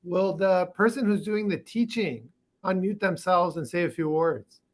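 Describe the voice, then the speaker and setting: A man's voice: sits high, measured pace. A man hosting a medical case conference on a video call, playful and teasing with the participants.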